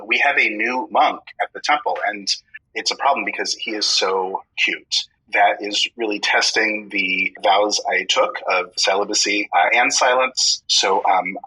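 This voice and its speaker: A man playing an earnest, awkward Buddhist monk who confesses nervously and haltingly on a comedy radio call-in. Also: on the low side, bright, forceful tone, husky, rough recording.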